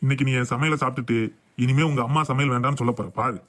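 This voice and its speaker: A man's voice: mid-range voice, steady tone, racing, a bit bright, close to an everyday voice. A man voicing a boastful, grumbling husband and father in a comic cartoon. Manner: blustering, complaining.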